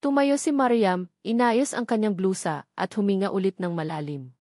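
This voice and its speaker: A woman's voice: mid-range voice, moderate pace, a little mellow, no room sound, studio quality. A woman with a Filipino accent reading a scripted romantic drama as its storyteller. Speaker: narrator.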